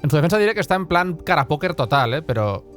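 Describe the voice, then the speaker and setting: Fast-talking gamer, a man. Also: on the high side, big pitch swings, fairly bright tone. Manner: colloquial, joking. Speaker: gameplay streamer. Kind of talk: casual reaction commentary. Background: game music.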